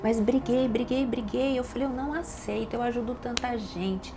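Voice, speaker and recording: A woman's voice: a little high, moderate pace. Emphatic Brazilian woman telling her story. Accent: Brazilian Portuguese. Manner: emotional, emphatic storytelling with repetitions. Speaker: doctor and podcast guest. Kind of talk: personal testimony in a podcast interview. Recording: audible background noise.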